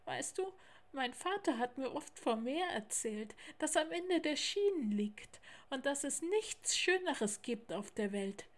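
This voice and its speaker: A woman's voice: high voice, sing-song, unhurried, pitched above the performer's own voice. A woman voicing an anxious, homesick little male tiger cub with a childlike manner in a children's storybook. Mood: wistful.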